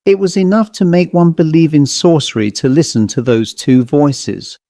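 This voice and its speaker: A man reading a horror story as an audiobook narrator, in a measured, literary, theatrical way. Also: on the high side, expressive, dark tone, dry recording, clean studio sound.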